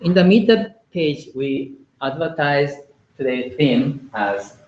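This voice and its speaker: A man speaks slowly and carefully, pausing often on fillers as he explains a lesson to a small group. His voice sits at an average pitch. The recording is roomy and a little dull, with a faint hiss behind it. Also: expressive. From Japan. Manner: hesitant, many filler pauses, plain explaining tone. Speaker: Buddhist lecturer. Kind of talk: informal lecture to a small group. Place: indoor meeting room.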